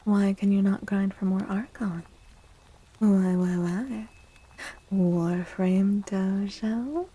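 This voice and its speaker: A woman livestreaming soft-spoken ASMR chat in a playful, unhurried way, with a breathy, often whispery voice close to the mic. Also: medium pitch, steady tone. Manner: playful, unhurried, repeats phrases. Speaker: livestream host.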